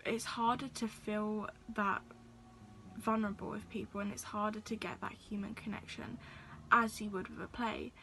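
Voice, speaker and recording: A woman's voice: mid-range voice, little pitch movement, moderate pace, noisy background. A young woman, reflecting on her youth theatre work in an interview style. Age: teens. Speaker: youth theatre company member.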